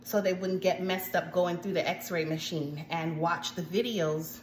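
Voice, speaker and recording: A woman's voice: on the low side, steady tone, a little slow. Chatty American woman in an echoey kitchen. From United States, Jamaican household. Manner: chatty and warm, frequent asides to viewers. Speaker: home cooking show host. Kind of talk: casual baking tutorial. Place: home kitchen, indoors. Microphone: phone camera at arm's length. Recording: echoey kitchen with faint noise.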